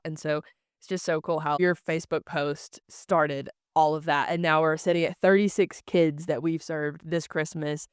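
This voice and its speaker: A man hosts a casual podcast with a fairly high, clean voice. He talks earnestly and effusively, and the sound is dry and close, with very little room around it. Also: clean tone, no room sound. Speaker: podcast host.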